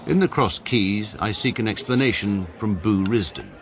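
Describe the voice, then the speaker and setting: An actor playing a pompous, precise middle-aged English barrister, narrating his own life deadpan in an upper-class accent for a radio comedy drama. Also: on the low side, normal speed, background noise, natural voice. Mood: stiff indignation.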